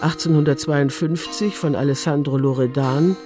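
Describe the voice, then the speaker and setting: A man's voice: a little high, fairly even intonation, moderate pace, music in the background. A man narrating a radio drama from a script as storyteller.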